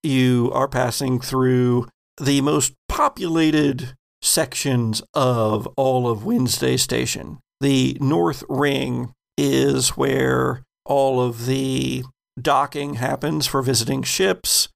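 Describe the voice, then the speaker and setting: A man narrating a tabletop game as game master, describing the scene in measured, deliberate phrases. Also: medium pitch, steady tone, vocal fry.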